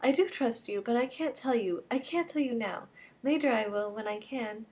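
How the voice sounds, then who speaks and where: A woman's voice: moderate pace, darkish tone, husky. A woman voicing a desperate, theatrical young woman who begs and implores in a dramatic reading of a novel. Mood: pleading. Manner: breathless, imploring, rising pitch.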